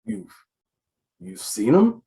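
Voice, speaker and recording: A man's voice: high-pitched, huge pitch swings, slow, vocal fry, dark tone, heavily acted. A man playing a menacing, impatient gang boss in an old-time radio crime drama, bossy and sarcastic with tough gangster slang.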